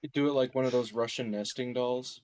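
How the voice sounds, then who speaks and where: Male player, middle pitch, informal wisecracking table talk, very dry close sound. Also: steady tone, a little fast, a little mellow, no echo. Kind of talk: casual joking game chat.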